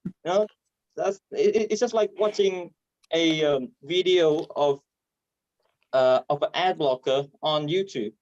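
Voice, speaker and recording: A man from Vietnam with a Vietnamese accent and a stutter, performing stand-up comedy haltingly over a video call. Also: fairly high pitch, a little mellow, no echo. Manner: hesitant, halting delivery with repeated words. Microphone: video call microphone. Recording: dull, muffled online call audio.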